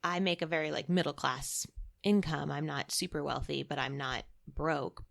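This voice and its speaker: A woman's voice: deep voice, steady tone, moderate pace, creaky, a bit bright. A woman from Massachusetts, a guest in a casual interview, talking chattily and often laughing. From Massachusetts, USA.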